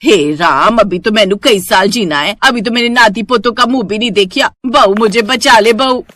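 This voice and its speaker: A woman voicing an older, bossy, melodramatic mother-in-law who nags and scolds in an animated story. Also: medium pitch, normal speed, no echo, studio quality, natural voice. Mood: panicked.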